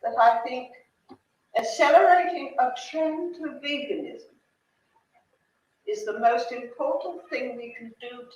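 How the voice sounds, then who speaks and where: High-voiced woman, slow and earnest, heard distant in a very echoey room on a dull old recording with faint noise. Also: darkish tone. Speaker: vegan movement pioneer. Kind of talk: speech from an archive film clip.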